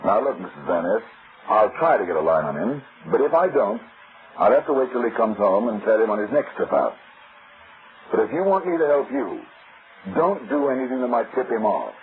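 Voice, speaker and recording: An actor playing a wry, prying private detective, brusque and slangy with an American accent, in old-time radio drama style. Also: male voice, lowish voice, big pitch swings, brisk. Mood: businesslike. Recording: muffled narrowband old radio recording with hiss.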